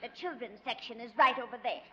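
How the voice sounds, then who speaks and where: An actress playing a stern, snappish librarian in a 1950s radio sitcom. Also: female voice, fairly high pitch, quick pace, muffled recording, crowd noise, lightly acted. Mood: prim. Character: strict shushing female librarian. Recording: restored 1950 broadcast, hiss.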